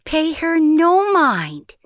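A motherly old fairy auntie for a fairytale audio play. Her voice is extremely high and unhurried, close and dry. She sounds gentle, fussy and coaxing. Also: wildly swooping pitch, no echo, heavily acted. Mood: cheerful, coaxing. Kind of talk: fairytale audio drama acting.

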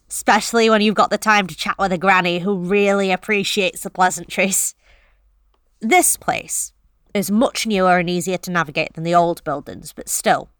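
An actress playing a delivery driver who tells a horror story in the first person, wry and confiding. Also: female voice, mid-range voice, a bit bright, dry recording, studio quality, lightly acted. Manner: wry, confiding, self-deprecating. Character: wry, uneasy woman courier.

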